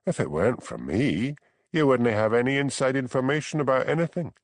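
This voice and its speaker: A man's voice: medium pitch, animated, a little fast, no room sound, voice pushed up, natural voice. A man voicing a brash, teasing Scottish detective in an audiobook crime story. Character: foxy, brash Scottish detective cadging whisky.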